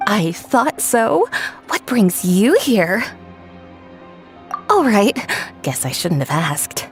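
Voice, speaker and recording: A woman's voice: medium pitch, very animated, drawn-out words, heavily acted. A woman playing a friendly, businesslike clothing shopkeeper in a video game, polite and coaxing. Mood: surprised, welcoming. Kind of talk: video game character acting. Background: faint music.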